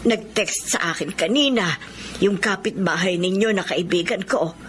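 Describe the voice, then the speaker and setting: A woman's voice: medium pitch, moderate pace, a bit bright, a little hiss or hum, lightly acted. A woman playing a pious middle-aged aunt in a Filipino radio drama, reassuring and confiding, with a Filipino accent.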